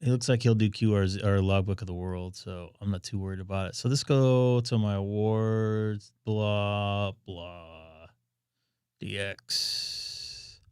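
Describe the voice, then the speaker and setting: A man hosting a ham radio live stream, chatting and thinking aloud as he operates, his voice going hoarse. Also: lowish voice, steady tone, slow pace, a little mellow, no echo, clean studio sound. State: losing his voice.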